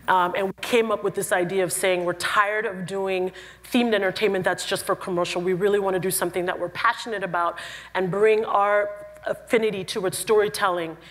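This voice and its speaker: A woman from Cameroon giving a lively, playful conference talk. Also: medium pitch. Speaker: conference speaker. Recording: reverberant hall.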